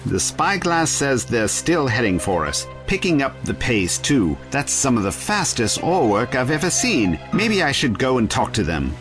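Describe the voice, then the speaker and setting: An actor playing a quirky, principled adventurer in an audio drama, talking in a wry, musing way. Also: male voice, mid-range voice, very animated, normal speed, few pauses, over music, lightly acted. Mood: curious, urgent. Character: quirky, principled adventurer, talkative and wryly witty. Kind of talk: full-cast audio drama acting.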